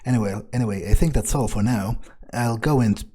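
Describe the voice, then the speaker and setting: Man roleplaying a mellow, brooding, cynical vampire. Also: medium pitch, husky, close to an everyday voice. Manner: halting, brooding, thinking aloud. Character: cynical, foul-mouthed male vampire musing on power. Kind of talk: improvised in-character game roleplay.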